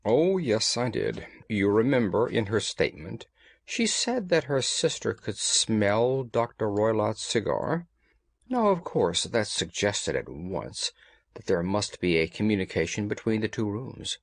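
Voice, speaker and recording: A man voicing a commanding, analytical gentleman detective in an audiobook, speaking precisely and formally. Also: on the low side, sing-song, unhurried, no room sound, full character voice. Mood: confiding, deductive. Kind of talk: audiobook character dialogue.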